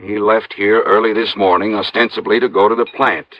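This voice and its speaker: A man's voice: lowish voice, steady tone, fairly quick, no room sound, lightly acted. A man playing an insistent local investigator with an emphatic, accusing manner in a 1950s radio drama. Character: insistent, indignant male local investigator, sure of his suspect. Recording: dry, dull old radio recording.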